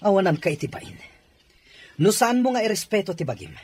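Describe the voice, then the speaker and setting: A man playing a stern, indignant husband in a drama, speaking in a forceful, accusing manner. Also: high-pitched, big pitch swings, a little fast. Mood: angry, accusing. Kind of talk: Ilocano radio-style drama acting.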